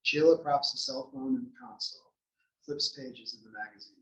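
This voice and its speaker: A man's voice: fairly high pitch, sing-song, normal speed, gravelly. A man narrating stage directions from a script at a table read. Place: library room, table read. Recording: echoey library room, distant mic.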